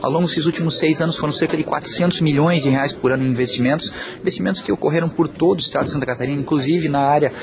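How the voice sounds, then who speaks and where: A man's voice: fairly high pitch, racing, creaky, lo-fi sound, crowd noise. A man speaking formally as a company president at an event, tagging sentences with "né". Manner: formal, official, frequent "né". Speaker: public company president guest. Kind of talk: interview answer at event.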